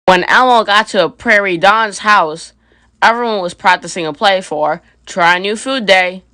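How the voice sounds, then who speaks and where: A woman's voice: mid-range voice, measured pace, bright, forceful tone, no room sound. A woman reading a children's story aloud as scripted narration. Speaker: storybook reader.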